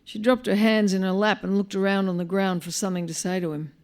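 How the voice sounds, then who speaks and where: An Australian woman reading a short story aloud live, in an expressive, wry manner with dramatic pauses. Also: mid-range voice. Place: live on stage at a small venue.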